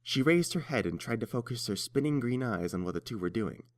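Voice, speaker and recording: A man's voice: medium pitch, animated, fairly quick, no room sound, clean studio sound. A man reading scripted story narration as the narrator of a tale.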